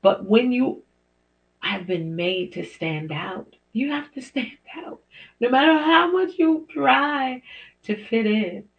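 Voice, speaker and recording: Deep gravelly woman, encouraging host. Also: lively intonation, slow pace. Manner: encouraging, preacherly, repetitive, with small laughs. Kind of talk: inspirational talk show monologue. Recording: dull lo-fi podcast audio.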